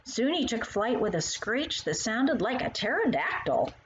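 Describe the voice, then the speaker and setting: A woman narrating a children's story as its author, in an expressive style that acts out the dialogue. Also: a little high, unhurried, muffled recording, slight background noise.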